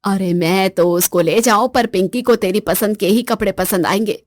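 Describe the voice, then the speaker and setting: A woman's voice: medium pitch, moderate pace, dry recording, studio quality, pitched below the performer's own voice, natural voice. A woman voicing a stern, bossy older mother-in-law in a spoken story, scolding bluntly.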